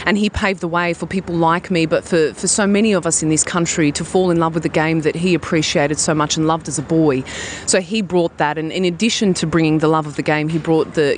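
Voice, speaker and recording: A woman's voice: sits low, a little hiss or hum. A woman football broadcaster answering interview questions fluently and earnestly.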